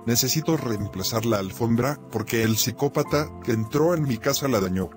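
A man voicing a stern cartoon father who also narrates, speaking in a plain, unhurried way. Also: mid-range voice, expressive, over music, close to an everyday voice.

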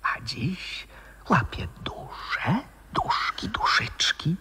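A sly, ghostly bird-catcher. He speaks slowly in a very hoarse, creaking half-whisper, insinuating and theatrical. The 1960s radio play recording carries long reverb. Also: high-pitched, wildly swooping pitch, bright, forceful tone, full character voice. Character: sly, ghostly old bird-catcher from opera, gently teasing.

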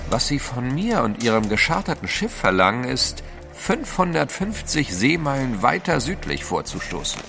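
An actor in a German audio drama playing a cool, sceptical gentleman adventurer with a formal, haughty manner. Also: mid-range voice, very animated, moderate pace, vocal fry, faint music behind, heavily acted. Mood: probing.

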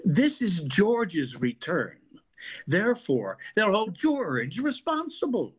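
An older man playing an exasperated, dry-witted psychiatrist in a 1940s-style radio comedy, with a husky voice. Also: high voice, very animated, slow, heavily acted. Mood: grave, exasperated.

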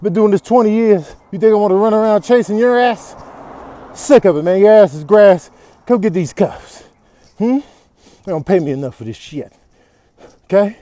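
A man's voice: high voice, moderate pace, long pauses, dark tone, lightly acted. A man voicing an exasperated veteran cop with a grumbling, folksy manner in a comedic voiceover. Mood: fed up. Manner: grumbling, world-weary, folksy. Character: weary veteran male cop on a foot chase, exasperated. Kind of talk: comedic improvised video voiceover.

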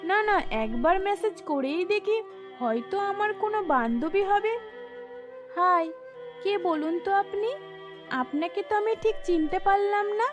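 Very high-pitched pleading teenage schoolgirl. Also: animated, unhurried, faint music behind. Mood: wary curious. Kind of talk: Bengali cartoon voice acting.

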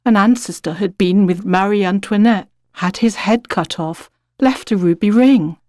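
A woman with a British accent giving an expressive, reflective dramatic reading of literary fiction as a narrator. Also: medium pitch, normal speed, no room sound, clean studio sound.

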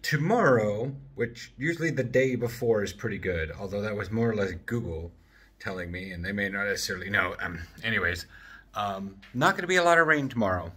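Male vlogger, thirties, bright forward voice, loose rambling chat with joking asides, handheld camera at home. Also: fairly low pitch, expressive, fairly quick, slightly rough. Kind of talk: casual chatty vlog. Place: apartment living room and open kitchen. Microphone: handheld camera at arm's length.

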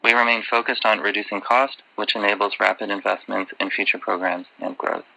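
A man delivering prepared earnings call remarks as a finance executive, in a formal, measured way. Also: on the low side, level tone, gravelly, sharp tone, dry recording, poor audio.

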